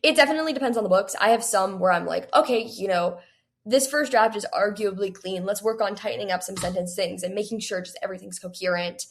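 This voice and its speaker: Creaky-voiced young woman chatting. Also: medium pitch, fast talker, a little hiss or hum. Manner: rambling, filler-heavy, peppered with "like" and quoted self-talk. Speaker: guest author. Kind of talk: casual interview chat about writing.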